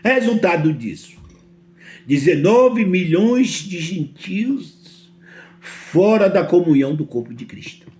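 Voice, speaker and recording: Emphatic Brazilian preacher man, lively pitch. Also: slow. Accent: Brazilian Portuguese. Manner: emphatic, repeatedly asks listeners if they understand. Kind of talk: sermon.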